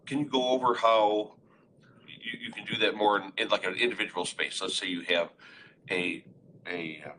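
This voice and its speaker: Deep-voiced man co-hosting a webinar. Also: fairly even intonation, normal speed, bright, forceful tone, slight background noise, poor audio. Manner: informal, summarizing and prompting. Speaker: webinar co-host asking questions. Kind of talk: casual conversational webinar. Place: meeting room. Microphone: conference room array microphone.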